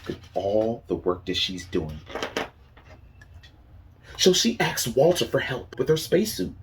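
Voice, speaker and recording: A man's voice: lowish voice, very animated, a little slow, slight background noise. A man reading a picture book aloud to young children as a teacher, asking them questions and praising their answers.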